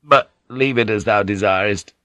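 A man's voice: on the low side, moderate pace, a bit bright, no room sound, close to an everyday voice. A man playing an earnest, gallant man in an audiobook, with formal, archaic phrasing. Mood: frustrated.

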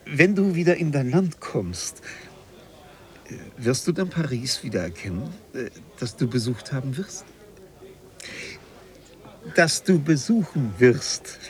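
An actor playing a polite, bewildered bookseller in a radio play, halting and puzzled as he struggles to follow. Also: medium pitch, sing-song. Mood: muddled. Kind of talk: German radio play acting.

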